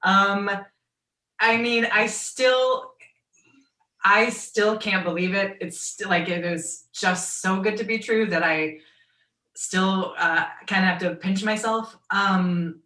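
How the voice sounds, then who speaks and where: A woman with a naturally low voice and a Midwestern accent, telling stories as a guest on a video call. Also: steady tone, slow pace, bright, forceful tone, reverberant, far from the mic, low-quality recording. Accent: American Midwestern. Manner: chatty storytelling, quoting others in asides. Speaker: guest voice actor and writer. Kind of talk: online fan Q and A.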